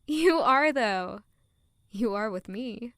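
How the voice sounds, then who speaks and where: An actress playing a playful, observant young noblewoman in an audio drama, with a soft, expressive delivery. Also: on the high side, slow pace, dry recording, studio quality, heavily acted. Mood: playful, candid. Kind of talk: audio drama dialogue and first-person narration.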